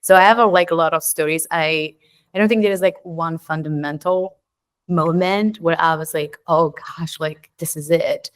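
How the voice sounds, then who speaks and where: A woman's voice: low-pitched, steady tone, normal speed. A woman in her thirties with a Czech accent, a podcast guest chatting casually and filling her talk with "like" and "um". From Czech Republic. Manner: chatty, many fillers like "like" and "um". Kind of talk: casual interview chat.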